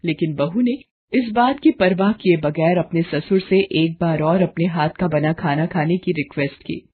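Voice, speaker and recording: A woman's voice: lowish voice, breathy edge. A woman narrating a scripted cartoon family story. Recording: dull lo-fi audio.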